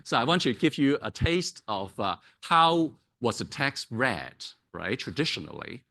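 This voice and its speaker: Slow, bright-voiced male lecturer, full of "uh"s. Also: mid-range voice, very animated, creaky. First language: Cantonese. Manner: hesitant, many fillers and restarts, checks in with "right?". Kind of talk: academic public lecture. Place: lecture hall.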